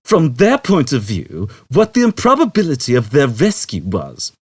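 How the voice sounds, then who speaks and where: Space rogue, a male narrator acting: slangy, impatient drawl, pushed-up pitch, big swings, audiobook sci-fi. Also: wildly swooping pitch, normal speed, husky, no room sound, studio quality. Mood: pondering. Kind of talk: audiobook character voice.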